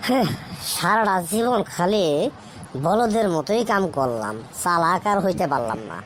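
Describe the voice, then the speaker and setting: A woman's voice: mid-range voice, animated, slight background noise, close to an everyday voice. A woman voicing a dim-witted, eager schoolboy in a comic cartoon, with a rural Bangladeshi Bengali accent and a repetitive, muddled manner. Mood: rueful. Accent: Bangladeshi Bengali, rural colloquial. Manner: slow, repetitive, keeps seeking confirmation.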